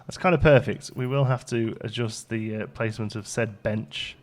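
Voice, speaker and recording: A man's voice: lowish voice, steady tone, moderate pace. A man hosting a let's play, chatting casually and thinking aloud as the game goes along. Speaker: gaming video host.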